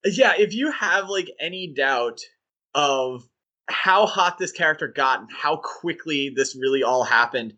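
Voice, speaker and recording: Bright-voiced man co-hosting a podcast. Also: a little high, unhurried. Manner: animated, rambling, with fillers and restarts. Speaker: podcast co-host. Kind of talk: casual podcast discussion.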